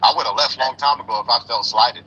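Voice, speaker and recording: A man talks fast and insistently, circling back over the same words as he presses his case. His voice is very bright and sharp and sits a bit high. A faint hiss of noise runs under it. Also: muffled recording. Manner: fast, insistent, repeats himself. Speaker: host. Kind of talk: heated debate on a call.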